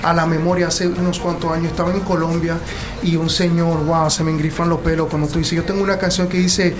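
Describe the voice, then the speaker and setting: Dominican guy, high voice, earnest singer talking w lots of fillers. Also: fairly quick. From Dominican Republic. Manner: earnest, animated testimony with many fillers. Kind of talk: casual interview chat.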